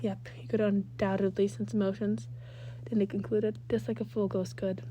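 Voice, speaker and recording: A woman's voice: medium pitch, little pitch movement, moderate pace, dark tone, a little hiss or hum. A woman narrating fan fiction as an amateur reader, now and then stumbling and rereading phrases. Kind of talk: scripted narration, reading a story aloud.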